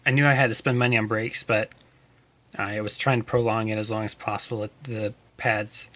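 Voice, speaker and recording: A man talking casually through a car repair log in a monotone, hesitant way. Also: on the low side, fairly quick. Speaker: car owner narrating his own repair log. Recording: noticeable background noise.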